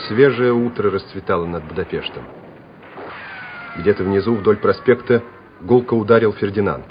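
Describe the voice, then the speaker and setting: A man's voice: fairly low pitch, a little hiss or hum. A man reading scripted dramatic narration for a war radio drama. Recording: dull vintage radio recording with music.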